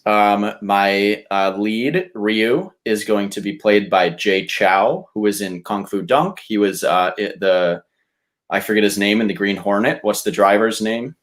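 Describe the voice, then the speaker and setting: A man on a podcast casually pitching a movie, with a halting, informal delivery.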